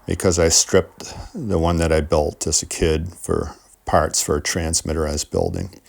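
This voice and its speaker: Low-voiced older man. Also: gravelly. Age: 60s. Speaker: hobbyist video maker. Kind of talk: casual hobby video narration.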